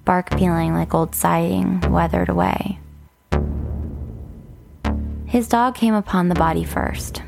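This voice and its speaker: A woman with a creaky voice delivering scripted narration for an audio fiction show. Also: on the low side.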